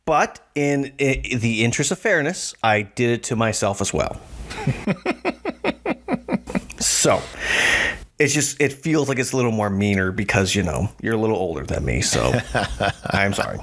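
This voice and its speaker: Playful dad podcaster with a lively voice. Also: male voice, lowish voice, measured pace, pauses often. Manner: playful, laughs often, retells scenes with lots of fillers. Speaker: podcast co-host. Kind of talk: casual chat.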